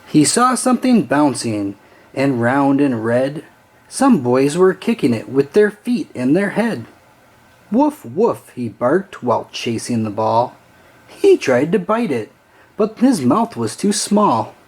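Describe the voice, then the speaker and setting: Slow, animated man reading a children's story. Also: mid-range voice. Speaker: storybook reader. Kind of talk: children's read-aloud storytelling.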